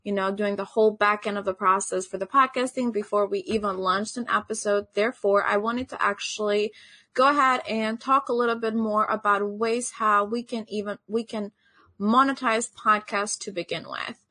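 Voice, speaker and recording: A woman's voice: mid-range voice, steady tone, moderate pace, bright, forceful tone, dry recording. A young woman from Albania, speaking, gives an earnest online conference presentation as a podcast host, often saying "actually" and "you know.".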